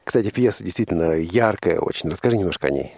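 Guy hosting a radio interview, husky low voice, earnest, halting, repeats himself for emphasis. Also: animated, a little mellow, dry recording, clean studio sound. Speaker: radio host interviewing a guest.

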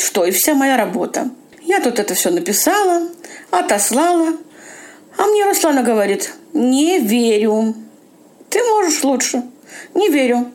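A woman's voice: sits high, darkish tone. A woman, an amateur audio-play actress, speaking reflectively and informally about her own experience. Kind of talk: reflective spoken account for a radio feature.

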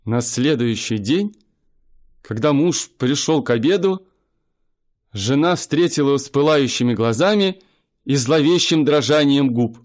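Brief: male narrator, mid-pitched, measured storytelling for a radio play. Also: lively intonation. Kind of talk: scripted literary narration.

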